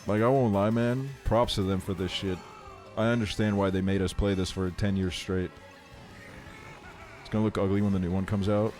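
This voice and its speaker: Low-voiced man streaming, music behind. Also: fairly even intonation, moderate pace, a little mellow. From Estonia. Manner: slangy, frequent swearing. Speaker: livestreamer. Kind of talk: casual chat while streaming a video game.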